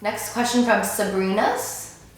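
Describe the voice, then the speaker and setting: A woman's voice: mid-range voice, animated, slow, faint music behind. A woman in her twenties, a beauty vlogger, chatting casually to camera and answering viewer questions. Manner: chatty, gushing, many "like"s and "um"s. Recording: very roomy echo.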